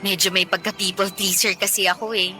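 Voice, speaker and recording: A woman's voice: medium pitch, level tone, a little fast, pushed and bright. A woman playing a sweet, smitten young woman chatting playfully on a date in a radio drama. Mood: candid, modest. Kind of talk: audio drama dialogue. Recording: light music bed behind.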